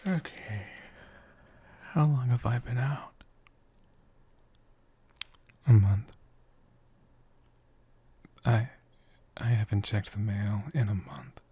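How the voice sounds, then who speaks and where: A man voicing a sleepy, affectionate dragon shopkeeper in a soft, breathy, whispered ASMR roleplay, with a drowsy and gently formal way of talking. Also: lowish voice, animated, slow pace, long pauses, full character voice. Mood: groggy, surprised.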